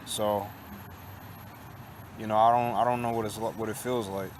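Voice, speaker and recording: A man in his late twenties talking in a casual confessional monologue, halting and repetitive, restarting often and swearing, with a resigned tone. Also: lowish voice, little pitch movement, a little hiss or hum. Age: late 20s. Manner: resigned, downcast tone. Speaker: vlogger. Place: outdoors on a college campus.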